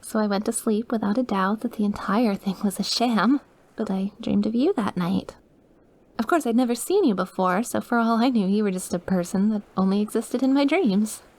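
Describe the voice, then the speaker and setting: A woman's voice: mid-range voice, normal speed, darkish tone, natural voice. A woman playing an obsessive, lovesick, dying young witch in an intimate ASMR roleplay, with a clingy, pleading manner. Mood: wistful, dreamy.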